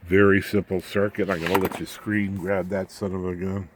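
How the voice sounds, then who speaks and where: Male, low-pitched hobbyist explainer; folksy, slangy, informal technical talk that rambles. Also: little pitch movement, moderate pace, background noise. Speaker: electronics hobbyist presenter.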